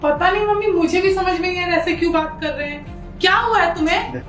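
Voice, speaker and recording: Lady doing a fierce scolding wife in a family drama, super high piercing voice, roomy echo. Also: fairly quick, a bit bright, distant mic, soft background music. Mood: upset, protesting. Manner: scolding, combative, argumentative. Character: indignant wife fiercely defending her mother. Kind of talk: melodramatic family drama acting.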